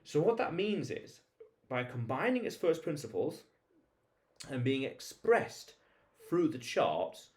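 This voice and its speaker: A man's voice: on the high side, sing-song, slow. A man hosting a vlog, talking informally to viewers in an explainer monologue, emphatic, repeating phrases and posing rhetorical questions. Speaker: vlog host.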